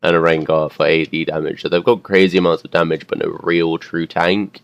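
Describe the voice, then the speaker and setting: A young man commentating a custom game he also plays in, his voice a bit hoarse, rambling and correcting himself as he goes. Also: sits low, little pitch movement, fairly quick, dry recording, lo-fi sound. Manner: rambling, unsure, self-correcting, filler-heavy.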